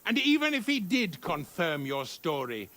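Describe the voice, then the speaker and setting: An actor playing a stern, sardonic castle commander in a video game. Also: male voice, high voice, sing-song, normal speed, bright, forceful tone, heavily acted. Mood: dismissive, sardonic. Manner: condescending, sardonic. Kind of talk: cinematic video game acting.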